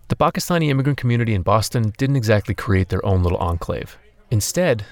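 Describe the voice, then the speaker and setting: A young man who hosts and narrates a podcast, telling his own story openly and personally. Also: fairly low pitch. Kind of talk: scripted narration and interviewing.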